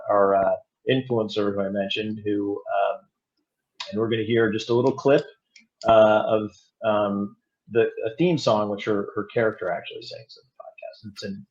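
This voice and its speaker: Male interview guest, lower register, conversational and halting, slightly lo-fi audio. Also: a little mellow. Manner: halting, roundabout phrasing. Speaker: podcast guest, audio drama producer and director. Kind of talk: casual interview talk. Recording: slightly dull, lo-fi sound.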